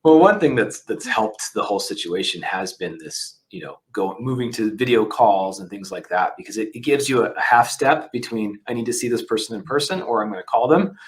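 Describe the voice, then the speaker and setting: A company CEO talks in an interview with a fairly low voice. He speaks candidly and works through his thoughts aloud, with frequent fillers. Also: expressive, a little fast, hardly any pauses. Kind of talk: interview conversation.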